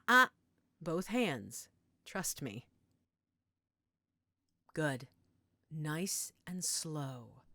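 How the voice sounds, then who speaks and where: A woman playing a middle-aged motherly healer in an audio roleplay, gentle, reassuring and coaxing. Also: low-pitched, dry recording, clean studio sound, heavily acted. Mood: soothing. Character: calm motherly werewolf-den healer named Judith, tending a patient.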